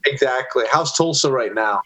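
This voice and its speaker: A man hosts with hyped-up, enthusiastic energy over a video call. His voice sits at an average middle pitch. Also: moderate pace, bright, forceful tone. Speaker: podcast host. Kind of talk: upbeat interview hosting.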